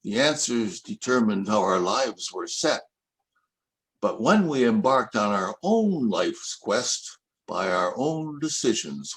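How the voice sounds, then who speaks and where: An older man reads his own verse slowly and deliberately, taking his time over each line. His voice sits a little low, yet his pitch rises and falls a great deal as he recites. The delivery is calm and reflective. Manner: slow, measured, reflective recitation. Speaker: poet reading his own work. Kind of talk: poetry reading.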